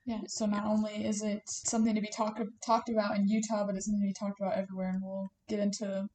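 A woman's voice: mid-range voice, level tone, fast talker, warm tone. A young woman with an American accent, chatting casually as a student podcast co-host. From Virginia, United States. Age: young adult. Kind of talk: casual chat. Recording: roomy, echoey sound.

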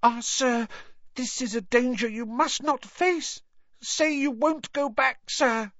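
A squeaky, strained little elf, pitched very high and swooping. He is anxious, devoted and servile, pleading earnestly like a storybook creature. The sound is dry and a little dull. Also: fairly even intonation, slow, a bit bright, dry recording, heavily acted. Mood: insistent. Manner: pleading, servile, refers to self in third person.

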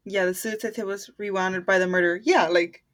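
A woman co-hosting a casual podcast, reading riddles aloud slowly and quietly and laughing between them. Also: mid-range voice, fairly even intonation.

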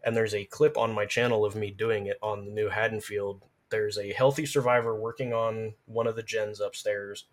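A man's voice: fairly low pitch, level tone, moderate pace, few pauses. A man chatting casually as a guest, explaining video game play in detailed, analytical fashion. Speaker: podcast guest, gamer.

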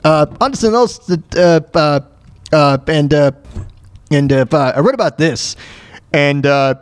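A man hosting a solo talk show, speaking casually in a halting, stammering way with repeated false starts and a plaintive, self-deprecating manner. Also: a little high, clean tone. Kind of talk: casual personal rant and storytelling.

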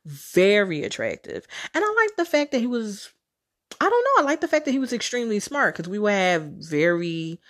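A woman's voice: medium pitch, sing-song, normal speed. A woman podcast host telling casual personal stories on her own, in a chatty, candid, slangy way with some casual swearing. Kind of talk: casual solo storytelling.

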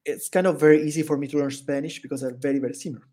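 A man's voice: fairly high pitch, rapid-fire. A man from Italy speaking English with an Italian accent, chatting as a podcast guest and laughing often. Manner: chatty, animated storyteller who laughs often. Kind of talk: casual interview chat.

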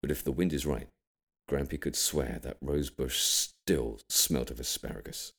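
A British man reading an audiobook, delivering scripted comic narration in an expressive storytelling manner. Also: a bass voice, unhurried, vocal fry, slightly rough. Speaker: audiobook reader.

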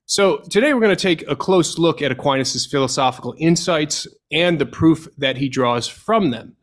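A man hosting a podcast interview, reading a scripted introduction and asking questions in a measured, deliberate way. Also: a little high, expressive. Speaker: podcast host.

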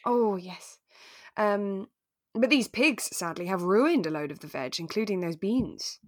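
A woman in relaxed podcast conversation, musing and thinking aloud as a co-host discussing a drama. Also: mid-range voice, a little slow, no echo, clean studio sound.

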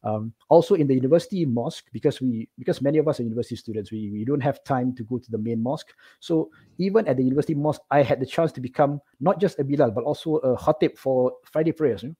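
Middle aged singaporean guy chatting about his life, talks really fast, bit husky, keeps going "right?". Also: mid-range voice. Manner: animated, checks in with "right?" often. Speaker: interview guest. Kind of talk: casual personal storytelling.

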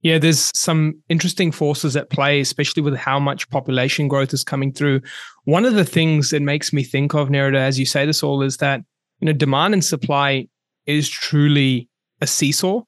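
Higher-voiced man, dry close sound. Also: fairly even intonation, normal speed, dry recording. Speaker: podcast host, property researcher. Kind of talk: conversational podcast discussion.

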